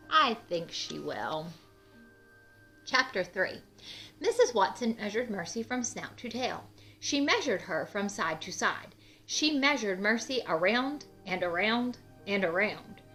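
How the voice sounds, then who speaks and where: A woman's voice: fairly high pitch, unhurried, rough recording. A woman narrating a children's book expressively and putting questions straight to young listeners. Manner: expressive, addresses children directly. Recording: music under the voice. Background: music.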